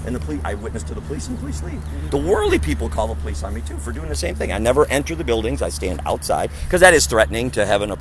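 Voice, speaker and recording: A man's voice: mid-range voice, wildly swooping pitch, rapid-fire, hardly any pauses, a little hiss or hum. A man preaching outdoors to a small group, talking emphatically, posing rhetorical questions and acting out other people's voices. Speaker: street preacher. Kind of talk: conversational street preaching. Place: outdoors in a parking area.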